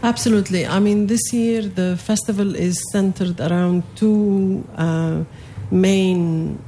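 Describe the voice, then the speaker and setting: A woman from Palestine whose first language is Arabic speaks slowly in a low, mellow register with a gravelly edge. She pauses often and restarts her sentences while answering an interviewer. A faint ambient hum sits underneath. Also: steady tone. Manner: hesitant, many fillers and restarts. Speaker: festival organizer, guest.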